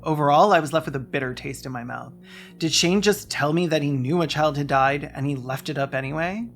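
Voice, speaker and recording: Narrator, scripted video essay, gravelly fry, measured delivery, medium pitch.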